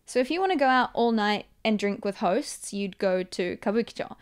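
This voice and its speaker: A young woman with a New Zealand accent chatting casually as a podcast guest, telling self-deprecating anecdotes in an animated way. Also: mid-range voice, normal speed, clean tone. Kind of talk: casual chat.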